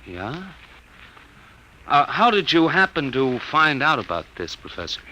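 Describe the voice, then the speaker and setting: A man's voice: fairly high pitch, sing-song, a little slow, pushed and bright, exaggerated voice, rough recording. An actor playing a down-to-earth young American man, colloquial and wisecracking, in the manner of 1950s radio drama. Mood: curious. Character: slangy, down-to-earth young man, the professor's loyal confidant.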